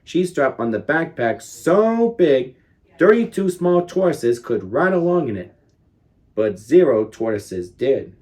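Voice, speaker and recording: A man in his thirties reads a children's storybook aloud at an unhurried pace. His pitch swings widely as he stresses key words for drama, in a deliberate, expressive storytelling style. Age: 30s. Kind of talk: expressive picture book read-aloud.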